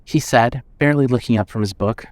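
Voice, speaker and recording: Medium-pitched male story narrator. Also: a little fast, dry recording.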